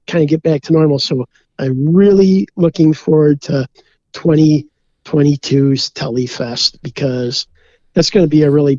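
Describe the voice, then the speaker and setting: A man's voice: a little high, a little mellow, dry recording, dull audio. A man from Minnesota, a beer brewer, chatting easygoingly as a podcast guest and filling his talk with "you know". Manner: rambling, easygoing, many "you know" fillers. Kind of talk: casual interview chat.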